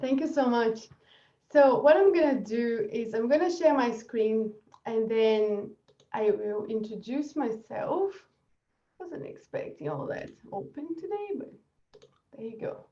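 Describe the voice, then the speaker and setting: A woman from Brazil with a Brazilian accent, giving an energetic webinar presentation and laughing often. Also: fairly high pitch, unhurried, recorded from a distance. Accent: Brazilian Portuguese. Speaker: marketing presenter. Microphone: video call. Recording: echoey dull video call audio.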